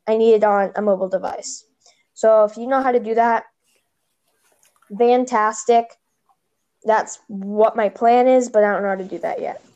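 A woman's voice: a little high, warm tone, muffled recording. A woman hosting a casual podcast over a phone, chatty and rambling, with a slight lisp. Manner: chatty, rambling, restarts often. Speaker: podcast host.

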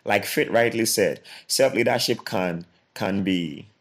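Low-voiced earnest man. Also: a little slow. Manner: earnest, repetitive, restarts phrases. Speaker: co-host. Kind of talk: motivational podcast talk.

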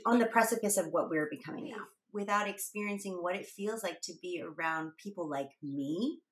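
A woman talking as a guest in a casual conversation, animated and laughing as she tells stories. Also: fairly low pitch, roomy sound.

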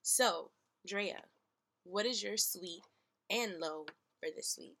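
A woman's voice: medium pitch, takes it slowly, a bit bright. A woman in her twenties co-hosting a podcast, talking in a casual, chatty and playful way with slang. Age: 20s. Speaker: podcast co-host.

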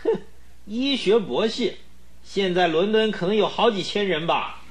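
A man's voice: high-pitched, unhurried. An actor playing a haughty, stubborn eccentric in a radio drama, pompous and scornful, hemming as he talks. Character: haughty, stubborn, eccentric rich man, dismissive of callers. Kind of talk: Mandarin radio drama acting.

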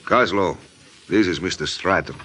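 A smooth, menacing Italian mobster with a low voice and forceful edge. He speaks broken English with calm mockery, in the manner of an old radio crime drama. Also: moderate pace. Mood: calm menace. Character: menacing, smooth-talking Italian immigrant gang boss. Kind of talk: 1940s radio acting.

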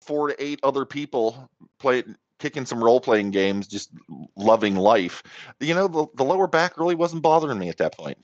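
A man's voice: medium pitch, lively intonation, normal speed, no echo, muffled recording. A man in his forties co-hosting a podcast, laid-back and self-deprecating, swearing casually. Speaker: podcast co-host. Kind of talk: casual chat.

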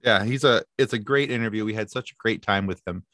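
A man's voice: fairly low pitch, fast, a bit bright, no room sound, clean studio sound. A man chatting as a podcast guest, easygoing, sometimes searching for words. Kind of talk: casual chat.

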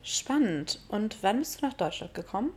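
A young woman hosting a casual interview podcast, friendly and upbeat, with relaxed greetings. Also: medium pitch, normal speed, slightly rough. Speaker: podcast host.

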